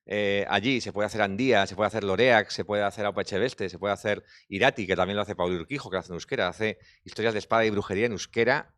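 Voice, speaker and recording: A man from the Basque Country, a film director, talking quickly and thinking aloud in a festival press interview. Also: lowish voice, fairly even intonation, fairly bright tone, dry recording, studio quality. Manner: fast, talkative, thinks aloud with restarts.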